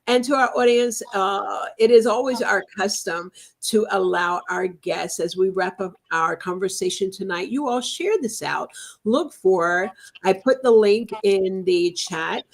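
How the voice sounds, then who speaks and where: A woman's voice: medium pitch, measured pace. A woman hosting a live book talk show, effusive and thankful, with frequent fillers. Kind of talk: casual book talk interview.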